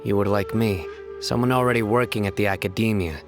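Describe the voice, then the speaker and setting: A male actor's wary, serious official from a video game, pitched on the low side. He speaks formally and with measured gravity. Prominent music plays behind the voice. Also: fairly even intonation, close to an everyday voice. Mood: grave, guarded. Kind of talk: scripted video game voice acting.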